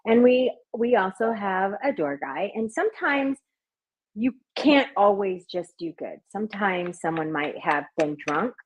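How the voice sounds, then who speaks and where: A woman working as a hypnotist, talking in an interview with a soft, soothing voice. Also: mid-range voice, animated, a little slow, husky. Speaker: hypnotist and party performer. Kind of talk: conversational interview answers.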